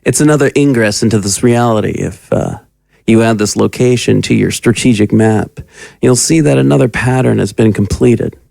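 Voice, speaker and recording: A man's voice: fairly low pitch, moderate pace, gravelly, a little mellow, no room sound, studio quality. An actor playing a calculating male agent in an audio drama, with a businesslike, clipped manner. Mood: businesslike, scheming. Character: crisp, calculating male agent reporting to a superior.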